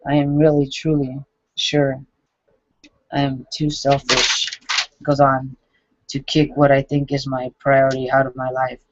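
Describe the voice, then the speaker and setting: A man in his forties reading his own journal entries aloud, halting and self-critical, with frequent restarts. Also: mid-range voice, completely flat delivery. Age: 40s. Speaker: vlogger. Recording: dull lo-fi dry recording.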